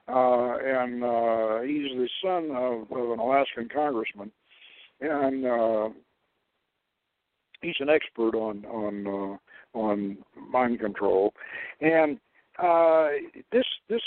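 A man hosting a radio talk show, lecturing in a deliberate, halting way. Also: mid-range voice, dry recording. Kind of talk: talk radio lecture, partly read aloud. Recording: tinny, phone-like radio line.

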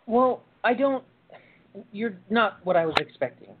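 A nervous young client from a radio play, voiced by a woman, speaking haltingly at a middle pitch. The manner is unsure and hesitant. The recording is phone-thin with noticeable noise. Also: animated, close to an everyday voice. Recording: thin phone-like sound, noisy line.

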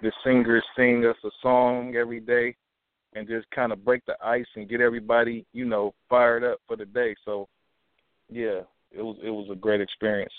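A young man from Milwaukee, guest on an interview, talking in a relaxed, halting way with many restarts and "you know"s. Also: medium pitch, clean tone, dry recording. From Milwaukee, USA. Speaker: rapper and actor guest. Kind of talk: casual interview talk. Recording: tinny phone-like sound, dry.

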